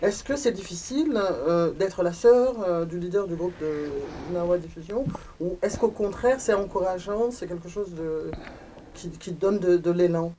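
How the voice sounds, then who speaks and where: A woman's voice: fairly low pitch, moderate pace, warm tone, distant mic, slight background noise, poor audio. A woman interviewing for a women's magazine, asking earnest questions while she searches for her words.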